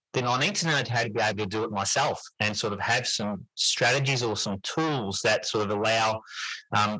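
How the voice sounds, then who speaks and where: A man's voice: mid-range voice, pushed and bright, no room sound, low-quality recording. A middle-aged man answering interview questions in a conversational, reflective and explanatory way. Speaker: interview guest, teacher and writer.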